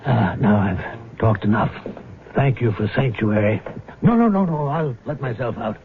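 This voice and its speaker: An actor playing a middle-aged, self-deprecating rich husband in a radio drama, hesitant, courteous and cryptic, with a husky voice. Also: male voice, medium pitch, lively intonation, a little slow, a little hiss or hum. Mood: kindly, evasive. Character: troubled wealthy betrayed husband, secretive and self-deprecating godfather. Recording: muffled old radio drama recording.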